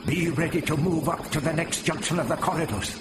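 A man's voice: a little high, brisk, very noisy, voice pushed up, close to an everyday voice. A man voicing a valiant old alien rebel leader in a storybook reading, urgent and commanding.

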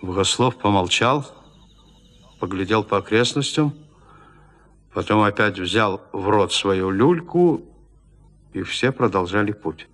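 A man narrating classic literary prose as a storyteller, expressively and with deliberate pauses between phrases. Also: on the low side, expressive, fairly bright tone.

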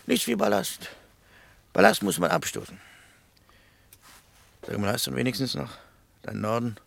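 Creaky, grumbling vagabond. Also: male voice, mid-range voice, very animated, normal speed, no room sound, exaggerated voice. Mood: sardonic, grumbling. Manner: grumbling, colloquial, mocking. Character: gruff, sarcastic vagabond man, grumbling and stubborn. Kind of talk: German radio play acting.

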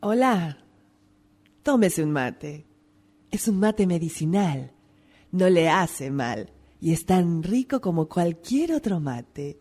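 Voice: mid-range voice, very animated, slow, pauses often, darkish tone. An actor playing an enticing, dreamlike healer in a comic radio drama, with long reverb. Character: dreamlike healer enticingly offering herbal remedies.

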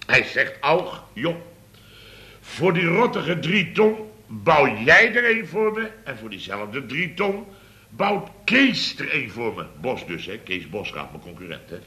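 Sharp, blustering, muddled company boss. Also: male voice, medium pitch, sing-song, measured pace. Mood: chatty, blustering. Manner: rambling, repetitive, tags phrases with "hè". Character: blustering, muddled male boss of a building firm. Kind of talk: 1970s Dutch radio comedy acting.

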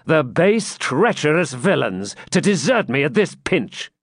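Man playing a petulant medieval prince, swooping pitch. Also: big pitch swings, unhurried. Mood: indignant. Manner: pompous archaic speech.

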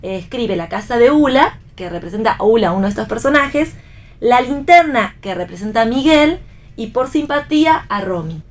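A woman's voice: animated, moderate pace. An Argentine woman explaining things casually as a panelist in a radio discussion. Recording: faint background rumble.

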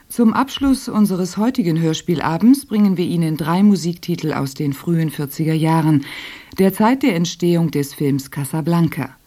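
A female radio announcer with a low, dark-toned voice. She reads programme notes in a formal, measured way, as a prepared script.

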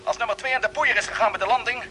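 An actor playing a determined space expedition leader, urgent and insistent, in a radio play. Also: male voice, very high voice, racing, pushed and bright. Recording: noisy, vehicle engine drone behind.

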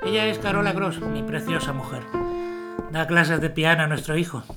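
A man playing a courteous, wary upper-class gentleman in an audio drama, speaking formally. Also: a little high, normal speed, music in the background, natural voice. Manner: formal, polite.

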